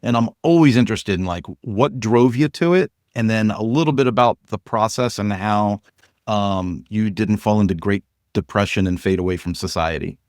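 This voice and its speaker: A man's voice: fairly low pitch, normal speed, a little mellow, no echo, clean studio sound. A man hosting a podcast, upbeat and chatty, joking casually with his guest. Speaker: podcast host. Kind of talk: casual interview.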